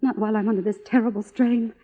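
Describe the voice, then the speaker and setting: An actress playing a needy, grandiose middle-aged film star in an old radio drama, speaking theatrically in halting, drawn-out phrases. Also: female voice, a little high, fairly even intonation, lightly acted. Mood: pleading, possessive. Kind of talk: mid-century radio drama acting. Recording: tinny, narrow old radio broadcast.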